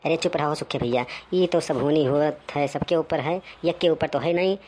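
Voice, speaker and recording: A man's voice: on the high side, normal speed, darkish tone, lightly acted. Man as a put-upon Awadhi village husband, noisy recording. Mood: resigned. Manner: exclamatory, theatrical rustic talk. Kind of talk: cartoon comedy dialogue.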